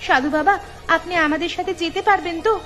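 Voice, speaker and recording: A kind, curious young village girl from a cartoon. Her voice is very high and bright, with an earnest, expressive delivery. There is soft music behind it. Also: fast talker. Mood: concerned. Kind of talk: cartoon storytelling voice acting.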